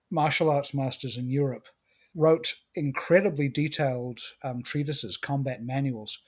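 A middle-aged man from New Zealand talks with a husky, slightly breathy tone at an ordinary pitch. He explains things in a measured, unhurried way, with frequent hesitations as he talks through his work. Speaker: guest, martial arts and stage combat instructor. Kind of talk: conversational podcast interview.

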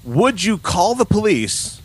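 A man's voice: high-pitched, sing-song, takes it slowly, slight background noise. A man co-hosting a casual podcast, reading news stories with wry, joking commentary. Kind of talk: casual comedic news chat.

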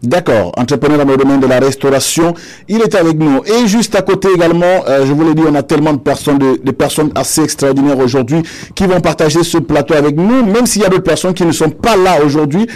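A man's voice: a little high, quick pace, darkish tone. A man hosting a live panel show from a studio, with a wordy, ceremonious way of presenting. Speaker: host.

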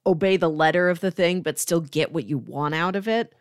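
Female co-host, casual book chat. Slightly low register, explanatory manner, dry sound without room echo. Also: a little fast. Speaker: podcast co-host.

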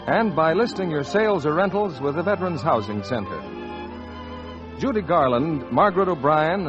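An American man reading scripted announcements and sponsor pitches as a 1940s radio announcer, on a vintage radio recording. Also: lo-fi sound.

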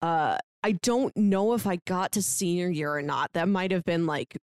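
A woman's voice: on the low side, normal speed, clear, resonant tone, dry recording, clean studio sound. A woman co-hosting a podcast, chatting casually about books in a rambling, giggly way. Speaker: podcast co-host.

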